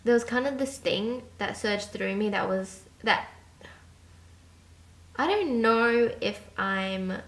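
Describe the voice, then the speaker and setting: Slow-talking candid young woman, swearing. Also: mid-range voice, a bit bright, echoey room. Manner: rambling, candid, swears freely, trails off. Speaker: vlogger. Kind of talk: unscripted confessional vlog. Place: her bedroom.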